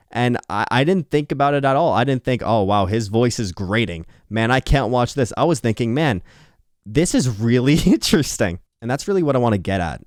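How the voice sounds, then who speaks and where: A man's voice: mid-range voice, darkish tone, no room sound, studio quality. A tired young man recording a casual late-night talk, rambling and candid, swearing and laughing now and then, with a slightly nasal voice. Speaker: YouTuber. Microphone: earphones on, close mic.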